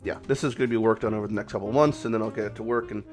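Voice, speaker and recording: A man with a raspy voice, hoarse from a cold, hosting a casual game commentary vlog in a rambling, off-the-cuff way with some swearing. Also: lowish voice, steady tone, very fast, faint music behind. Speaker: gaming channel host.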